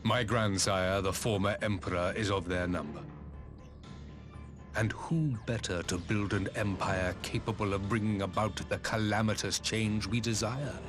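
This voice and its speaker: An imperious, aging emperor acted by a man delivers grand, cold proclamations in a game cutscene. His delivery is very slow and measured, full of zealous conviction. Dramatic music plays clearly behind him. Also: on the low side, fairly even intonation, close to an everyday voice. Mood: somber, bitter. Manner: grandiose, measured, archaic oratory.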